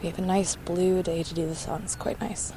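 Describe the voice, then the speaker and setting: A woman's voice: lowish voice, little pitch movement, a little fast, background noise. A young woman making an audio documentary, politely stopping people on an outdoor beach with short friendly questions. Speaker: interviewer, documentary maker. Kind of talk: vox pop interviewing.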